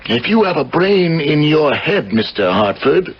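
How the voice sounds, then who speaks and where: An actor playing a wronged husband, coldly polite and sardonic, in a 1970s radio drama. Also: male voice, on the high side, sing-song, husky, full character voice. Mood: coldly menacing. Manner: formal, deliberate, sardonic. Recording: muffled old radio broadcast.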